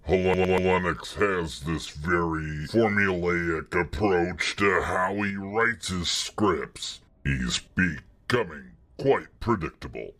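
A man voices a cheeky, literal-minded male droid in a comedic sci-fi radio play. He speaks in a lowish pitch at a very unhurried pace. The delivery is deadpan and pedantic, with a mock-obedient streak. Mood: matter-of-fact. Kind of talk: comedic podcast radio drama acting.

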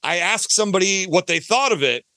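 A man's voice: high voice, expressive, brisk, sharp tone, no echo, studio quality. A man from Detroit with an American accent, chatting casually and emphatically as a podcast guest who explains his creative work. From Detroit, United States.

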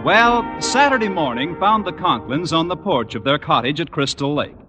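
A man's voice: fairly high pitch, expressive, normal speed, music in the background. An American man announcing on old-time radio in a polished, emphatic style. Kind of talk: 1940s-50s radio announcing and sponsor pitch. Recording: noisy old radio broadcast, reverberant hall.

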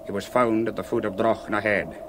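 An actor playing an older, hospitable but probing Scottish island minister in a radio drama, speaking with courteous hesitations. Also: male voice, on the low side, steady tone, a little fast, background noise, close to an everyday voice. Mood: courteous, solicitous.

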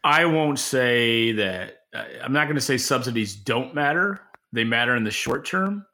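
Gravelly, bright-voiced man giving market commentary. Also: mid-range voice, animated, normal speed. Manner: emphatic, opinionated. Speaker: podcast co-host and industry analyst.

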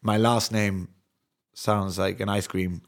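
A man's voice: fairly low pitch, little pitch movement, slow. A man in his thirties from London with an American-sounding accent, musing in a casual family chat with many restarts and self-corrections. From England (London). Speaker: musician.

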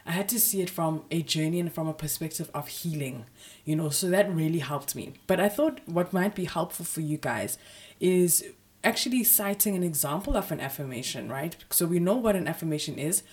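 A woman hosting her own podcast, talking casually with a slightly raspy voice from a sore throat, filling her speech with "you know" and "um". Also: deep voice, expressive, fairly quick, a little mellow. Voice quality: husky, raspy. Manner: chatty, frequent "you know" fillers. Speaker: podcast host. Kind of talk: casual solo podcast talk.

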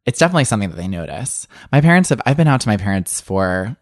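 A young man speaking as an interview guest, talking candidly and animatedly, with frequent filler words and a voice that jumps up and down in pitch. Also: big pitch swings, racing, dry recording, clean studio sound.

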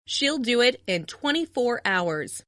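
A woman reading short phrases for language learners, repeating each one as a clear model. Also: fairly high pitch, very animated, takes it slowly, a bit bright, no echo, clean studio sound. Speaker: language lesson speaker.

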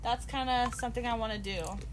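Woman, podcast co-host, higher pitch, giggly, rambling, tired, faint background noise. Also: a little fast. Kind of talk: casual chat.

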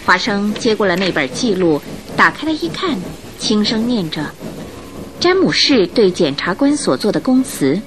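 Expressive woman narrator over music. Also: mid-range voice. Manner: expressive, measured storytelling. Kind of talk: scripted radio drama storytelling.

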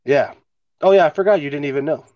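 A man's voice: a little high, quick pace, no room sound, highs cut off. A man hosting a casual podcast from home with a stuffy nose, laid-back and swearing casually. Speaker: podcast host. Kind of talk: casual chat. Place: home, recording remotely. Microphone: remote home mic.

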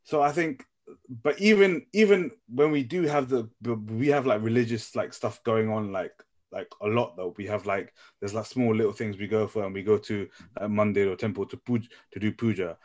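Lowish-voiced young man, halting phrasing. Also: a little mellow. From Nepal. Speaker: podcast panelist. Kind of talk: casual group discussion.

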